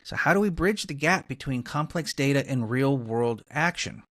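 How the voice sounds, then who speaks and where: A man's voice: mid-range voice, expressive, normal speed, a bit bright, no room sound, clean studio sound. A man speaking as a podcast host, introducing and interviewing guests.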